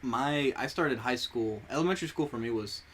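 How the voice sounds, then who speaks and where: Young man, Spanish first language, podcast co-host, rambling, self-correcting, mid pitch, faint noise. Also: brisk. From United States. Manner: rambling storyteller, corrects himself often. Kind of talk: casual chat.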